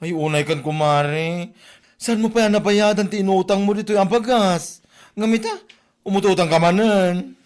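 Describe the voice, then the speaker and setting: A woman's voice: medium pitch, moderate pace, darkish tone, natural voice. A woman acting an exasperated village storekeeper, grudging and complaining, in a comedy drama. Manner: reluctant, complaining.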